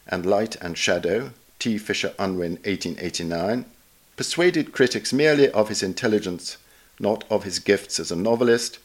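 A man narrating a written essay aloud in a measured, formal way with careful enunciation. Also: lowish voice. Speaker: narrator reading an article aloud. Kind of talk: scripted narration of a literary essay.

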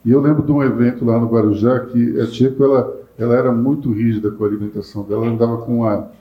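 A man's voice: medium pitch, fairly even intonation, fast. A man hosting a panel interview, telling a joking anecdote. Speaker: host and interviewer. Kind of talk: casual interview chat. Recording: roomy, dull sound.

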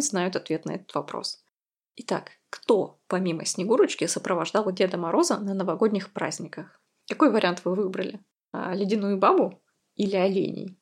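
A woman's voice: medium pitch, a little mellow, breathy edge. A woman hosting a podcast, going through quiz answers and explaining them in a chatty, explanatory way. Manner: chatty, explanatory, with light laughs. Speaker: podcast host.

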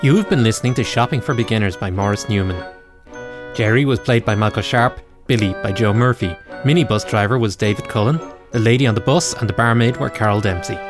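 A man's voice: lowish voice, fairly quick. A man reading scripted closing credits as a radio announcer. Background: music clearly under the voice.

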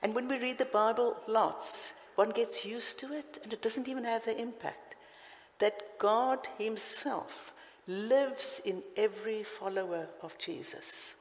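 A woman's voice: fairly high pitch, unhurried. A woman with a South African accent preaching a passionate, emphatic sermon. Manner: passionate, emphatic, with sudden loud lifts. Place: church hall. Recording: reverberant hall.

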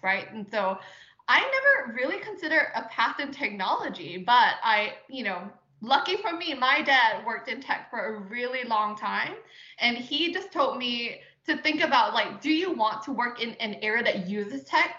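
A woman in her thirties talks freely as an interview guest, full of fillers and quick laughs. Her voice sits a touch high and sounds bright. The recording is roomy and dull. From Singapore. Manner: chatty, frequent fillers, laughs often. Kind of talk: casual interview conversation.

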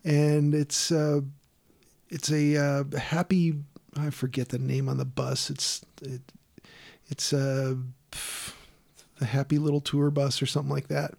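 A man's voice: on the high side, level tone, a little slow, gravelly, a little mellow. A man casually recapping a horror film on a podcast, rambling and wry, with frequent fillers and laughs. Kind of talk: casual comedic chat.

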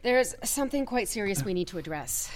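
An actress playing a brisk, no-nonsense committee chairwoman in a satirical audio drama, dry and businesslike. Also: mid-range voice, very animated, lightly acted. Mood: serious, stern.

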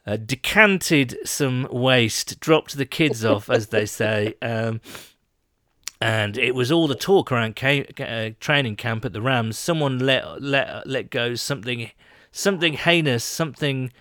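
Guy rambling and giggling through podcast questions, normal pitch, dry close mic. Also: fairly bright tone, dry recording. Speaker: podcast co-host. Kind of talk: casual chat.

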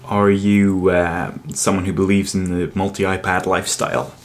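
A man's voice: low voice, flat delivery, normal speed, slight background noise. A man hosting a podcast in casual chat, hesitant and dryly humorous. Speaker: podcast host.

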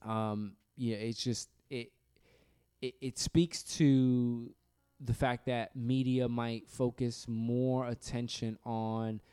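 A man from New York co-hosting a podcast, talking in a relaxed, conversational way and hedging his opinions. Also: mid-range voice, slow pace, clear, resonant tone, faint music behind. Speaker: podcast co-host. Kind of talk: casual podcast discussion. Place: studio room across from co-host.

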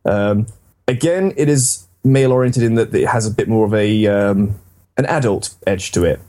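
A man's voice: on the low side, normal speed, mellow. A man hosting a casual, rambling and enthusiastic discussion about games. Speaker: podcast host.

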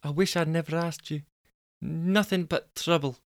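An exasperated Scottish girlfriend, played by a man in a homespun comic story. The voice sits high, with a wobbly Scottish lilt and a chatty, grumbling, put-upon manner. It is crisp and very dry. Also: steady tone, normal speed, no room sound, natural voice. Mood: fretful, defensive. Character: exasperated Scottish girlfriend, long-suffering but fond.

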